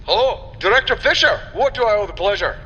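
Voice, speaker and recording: An actor playing a polite, obliging research chief on a call in an audio drama. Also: male voice, high-pitched, lively intonation, a little fast, bright, forceful tone. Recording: muffled, reverberant call with ambient noise.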